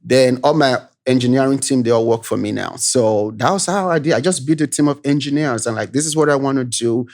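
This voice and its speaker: A man with a Nigerian accent tells his startup story as a podcast guest. His voice is mid-pitched and his delivery is relaxed and conversational. He leans on "you know" as he goes. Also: brisk, hardly any pauses. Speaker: guest, tech founder. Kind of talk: casual interview chat.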